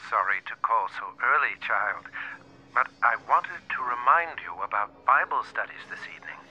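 Fatherly small-town pastor, coaxing, very sharp bright tone, lively pitch, telephone filter, horror audio drama. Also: moderate pace, husky, highs cut off, heavily acted. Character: folksy, fatherly, faintly lecherous male small-town pastor. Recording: thin, band-limited telephone sound.

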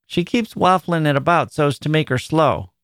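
A man's voice: fairly high pitch, expressive, normal speed, a bit bright, no room sound, clean studio sound, voice pushed up. A man voicing a sulky young boy in an audiobook, complaining and grumbling with dialect turns of phrase. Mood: sulky complaint. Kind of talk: literary audiobook character voice.

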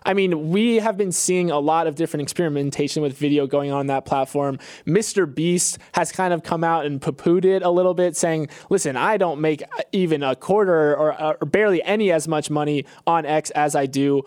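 A man's voice: a little high, normal speed, hardly any pauses, a little mellow, smooth, dry recording, studio quality. A man co-hosting a podcast, talking in a casual, conversational commentary style. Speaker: podcast co-host.

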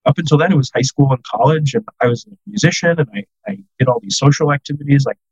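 A man's voice: a little high, fast, breathy edge, dry recording, lo-fi sound. A man in his thirties from the United States, a podcast guest telling self-deprecating stories in casual chat, full of "like" fillers.

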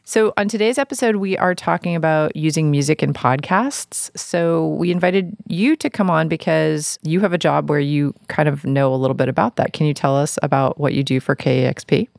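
A woman's voice: deep voice, moderate pace, vocal fry, hardly any pauses, a little mellow, no room sound, studio quality. A woman hosting an interview podcast in a conversational style.

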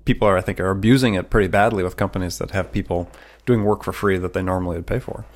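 A man's voice: low-pitched, fairly even intonation, quick pace. A man from the United States hosting a sales podcast interview. He talks plainly and stops to explain terms for his listeners. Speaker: podcast host.

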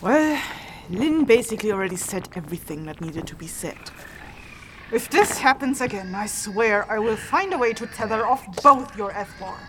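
Woman acting as a high-voiced, stern fantasy rune mage. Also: animated, normal speed, background noise, lightly acted. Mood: scolding. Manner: scolding, matter-of-fact, dismissive. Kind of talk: fantasy audio drama acting.

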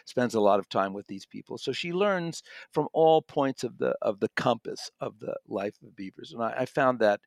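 A man's voice: medium pitch, normal speed, vocal fry, few pauses, dry recording, studio quality. A man giving a casual, conversational audiobook review, thoughtfully rephrasing as he goes. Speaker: magazine contributor and audiobook reviewer.

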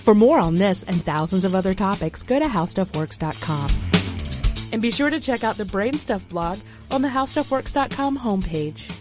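A woman's voice: mid-range voice, expressive, moderate pace. A woman announcing a podcast's intro and outro in a scripted promotional voice-over. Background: loud music.

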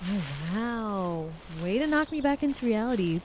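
Actress doing city girl dragged on a hike, highish voice, wry n teasing, audio drama, bit of nature noise. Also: animated, moderate pace, darkish tone, lightly acted. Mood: wry, affectionate.

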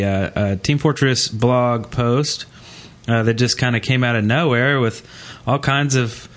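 A man with a middle-pitched voice hosts a casual gaming podcast. He speaks in a halting, rambling way, like someone thinking aloud in informal chat. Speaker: podcast host.

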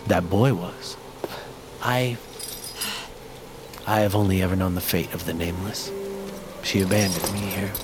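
Brooding male outcast warrior, low voice, poetic and archaic, for a fantasy audio drama. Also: fairly even intonation, a little slow, pauses often, music in the background. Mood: bitter, wounded. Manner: poetic, archaic, sardonic turns of phrase. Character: brooding male fighter of the Nameless, abandoned as a child. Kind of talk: dramatic audio fiction acting.

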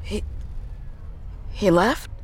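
A woman's voice: drawn-out words, a little hiss or hum. An actress playing an anxious young woman trucker in an audio drama, tough-talking and slangy, with hesitant, stammering speech. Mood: subdued, worried. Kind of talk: naturalistic audio drama acting.

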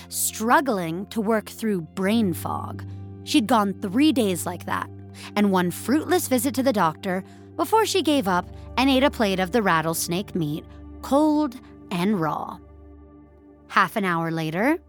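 A woman narrating scripted audio fiction in a measured, expressive storytelling style. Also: mid-range voice, no room sound.

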